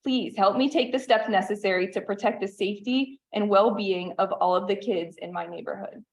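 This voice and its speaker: A woman in her thirties from western Pennsylvania, reading a prepared public comment at a town meeting in an earnest, measured way. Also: mid-range voice, steady tone. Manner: earnest, measured, reads from notes. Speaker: resident giving public comment.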